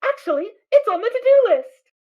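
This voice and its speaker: Bubbly baby-pony filly, very high and tinny, eager and giggly; fan audio drama. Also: female voice, very animated, brisk. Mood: innocent. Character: cheerful little filly pony, naive and bubbly. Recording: tinny phone-like sound.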